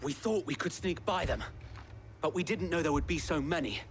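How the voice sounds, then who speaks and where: Man playing a harried, high-voiced knight commander. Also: moderate pace, slight background noise, close to an everyday voice. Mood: relieved, earnest. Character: dutiful, harried knight commanding his squire and men. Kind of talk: video game voice acting.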